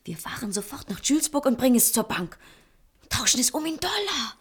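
An actress playing an eager, homely frontier farmer's wife in a German radio play, with expressive delivery. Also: female voice, high-pitched, a little fast, slightly rough, full character voice. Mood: excited.

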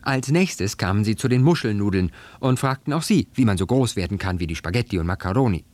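Animated man reading a children's story. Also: lowish voice, quick pace, dry recording. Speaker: story reader.

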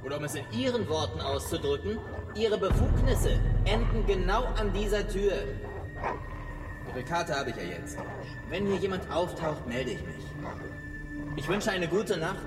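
A man's voice: sits high, lively intonation, moderate pace. An actor playing a firm, principled churchman in a radio crime drama, formal and unyielding. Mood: stern, defiant. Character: firm, principled church figure standing up to armed officers. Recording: echoey church hall, loud music behind.

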